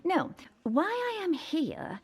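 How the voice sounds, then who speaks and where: A woman's voice: extremely high pitch, very animated, slow pace, exaggerated voice. An actress playing a pompous, pedantic forensics woman in an audio drama, speaking in a precise, formal way. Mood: pedantic, superior. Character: pompous, pedantic, self-important female police forensics colleague.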